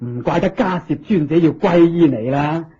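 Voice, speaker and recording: An actor playing a humble, eager king in a Cantonese radio drama, with a husky voice, a Hong Kong accent and deferential, expressive exclamations. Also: on the high side, normal speed. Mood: relieved, delighted. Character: humble, eager king seeking Buddhist teaching. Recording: dull lo-fi radio drama recording.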